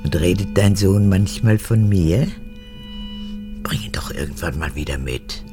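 An actress in a radio drama playing a manipulative, childish old woman in her eighties, an unrepentant former camp guard, with a husky, gravelly voice. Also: rumbling low, a little slow, music in the background. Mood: confused, needy. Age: 80s. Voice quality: husky, gravelly, often breaking into whispers. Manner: capricious, swinging between childlike pleading and cold recounting.

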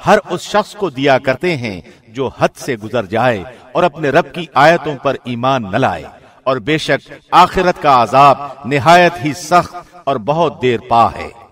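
A man reading a scripture translation from a script in a measured, formal announcer's delivery. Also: medium pitch, expressive, fairly bright tone. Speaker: translation reader.

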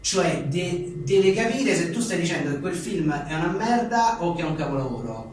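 Male author interviewee, slightly high voice, informal and digressive, recorded at a distance in a very reverberant room. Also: normal speed. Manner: animated, rambling, acting out imagined exchanges. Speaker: journalist and author being interviewed. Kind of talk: informal book presentation interview. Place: echoey indoor venue at a live event. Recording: very echoey room, distant mic, faint noise.

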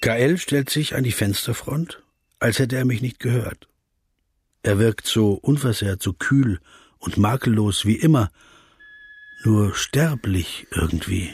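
A man's voice: lowish voice, measured pace, slightly rough, dry recording, heavily acted. An actor playing a deferential, anxious interviewer in a radio drama, narrating his thoughts hesitantly and politely. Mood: brooding. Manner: hesitant, polite, drops into hushed asides.

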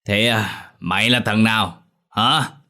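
A man's voice: fairly low pitch, slow, sharp tone, full character voice. A man doing a slurring drunk old father. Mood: menacing drunk. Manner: slurred, bellowing calls. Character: drunken older village father, menacing and slurring.

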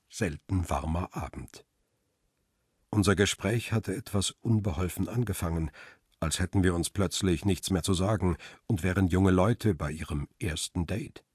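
A man's voice: deep voice, a little mellow, breathy edge, no echo, clean studio sound. An actor playing a world-weary middle-aged paparazzo in a crime radio play, reflective and understated as he tells his story. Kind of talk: radio drama, first-person narration and dialogue.

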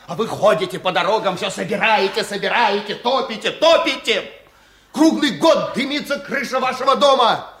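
A man's voice: high voice, normal speed, a bit bright. An actor in a Soviet radio play, playing a nervous, thin village foreman who complains with agitated sarcasm. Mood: scornful.

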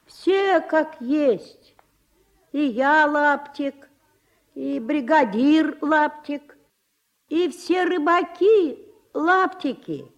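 An actress playing a small, wizened old village woman in a radio drama, with unhurried, folksy rural speech. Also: high voice, animated, exaggerated voice. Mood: matter-of-fact, folksy. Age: older. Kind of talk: Soviet radio drama acting. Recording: dull, lo-fi 1970s radio recording.

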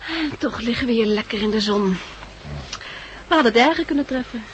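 An actress playing an affectionate, level-headed female survivor in a 1960s Dutch radio drama, gentle and matter-of-fact, with a Netherlandic accent. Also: a little high, animated, a little fast, natural voice. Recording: noisy old 1960s radio recording.

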